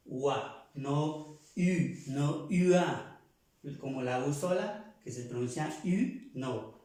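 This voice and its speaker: A man from Latin America with a somewhat high voice speaks very slowly, walking learners through pronunciation rules. He repeats each point and stops to correct himself, and his voice rings in a very echoey room. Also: a little mellow. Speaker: French teacher. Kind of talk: explaining pronunciation lesson.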